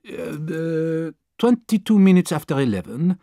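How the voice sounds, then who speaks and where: A man's voice: fairly high pitch, lively intonation, a little slow, warm tone, no room sound, clean studio sound, voice pushed up. A man voicing a polite, eager French manservant of thirty, hesitant and deferential in an audiobook. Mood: flustered. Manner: hesitant, deferential, punctuated with uhs.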